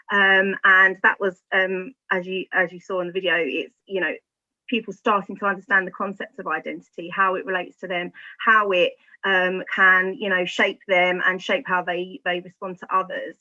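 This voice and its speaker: A woman's voice: lowish voice, steady tone, moderate pace, bright, forceful tone. A woman explaining things informally as a school staff member, in hesitant, loosely structured phrasing. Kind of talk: informal explanation in an online discussion. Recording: slightly muffled, band-limited audio.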